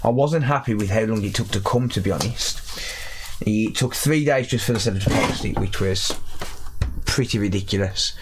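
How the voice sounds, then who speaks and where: A young man with a slightly raspy voice from recent illness, chatting casually as he repairs a console in his workshop. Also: on the low side, normal speed, background noise. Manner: chatty, self-deprecating, thinks aloud while working. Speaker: electronics repair YouTuber. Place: cluttered repair workshop, indoors.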